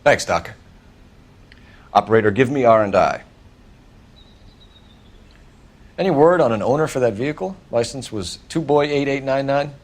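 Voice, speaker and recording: Young 1940s American detective, played by a man, stern, driven, clipped delivery, middle pitch, for a crime game. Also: lively intonation, brisk, close to an everyday voice. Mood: businesslike. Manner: clipped, authoritative. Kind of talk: video game crime drama acting.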